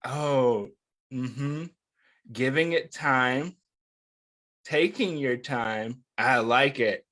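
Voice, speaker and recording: A man's voice: medium pitch, fairly bright tone. A man hosting an interactive read-aloud for young children, speaking encouragingly and putting questions to his listeners. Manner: encouraging, addresses listeners with questions and pauses for answers. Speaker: children's story time host.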